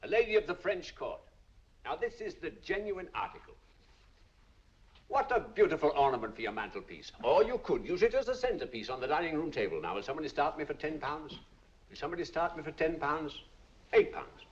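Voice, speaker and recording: A brisk British auctioneer works through showy, persuasive patter in a fairly high voice that swoops up and down. He repeats himself theatrically, on the dull soundtrack of an old film. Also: big pitch swings. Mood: brisk, coaxing. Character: brisk, showy London auctioneer, smooth salesman.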